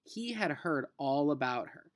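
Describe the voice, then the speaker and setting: Man, schoolteacher, expressive read-aloud, informal lesson delivery, very varied intonation, dry sound. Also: fairly high pitch, measured pace, no echo. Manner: expressive, informal, addressing students. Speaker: teacher reading a children's novel aloud. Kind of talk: read-aloud narration mixed with casual lesson talk.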